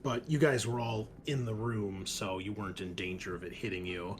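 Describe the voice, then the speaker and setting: A man's voice: fairly low pitch, fairly even intonation, normal speed. A man acting as game master, casually narrating a tabletop game and explaining things in a hedging way. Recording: music playing behind the voice. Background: music.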